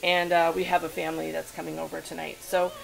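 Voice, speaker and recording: A woman's voice: low-pitched, flat delivery, fast, gravelly, over music, rough recording. A woman filming herself at home as she cooks, explaining each step in a chatty, instructive way with many fillers, recorded on a handheld camera in her kitchen. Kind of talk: casual cooking demonstration. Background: food sizzling in a pan.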